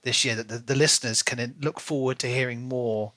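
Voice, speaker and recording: A man's voice: mid-range voice, fairly even intonation, fairly quick, pushed and bright, dry recording. A man co-hosting a book podcast, talking in a casual, conversational style. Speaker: podcast co-host.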